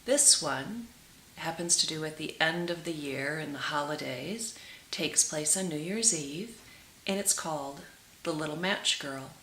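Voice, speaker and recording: Slow, low-voiced woman reading a story aloud. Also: lively intonation, fairly bright tone. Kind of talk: expressive storybook read-aloud for children, with brief asides. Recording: roomy echo with clear hiss.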